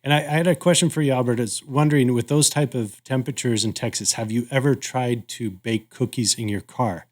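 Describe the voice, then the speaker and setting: A man co-hosting a podcast, discussing casually in an agreeable, thoughtful and somewhat halting way. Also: medium pitch. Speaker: podcast co-host.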